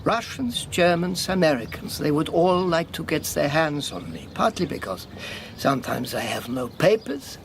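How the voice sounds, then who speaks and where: An actor in a radio drama playing a sardonic, battle-worn European refugee with a lame leg, speaking haltingly with mocking formality. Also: male voice, a little high, animated, gravelly, slight background noise. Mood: confiding, wry.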